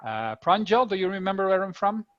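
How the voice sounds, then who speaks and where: A man's voice: sits high, sing-song, fairly quick, no echo, dull audio. A man from the Netherlands with a Dutch accent, teaching an online coding class to children in a chatty, upbeat way over a video call. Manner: upbeat, chatty, talks to kids directly.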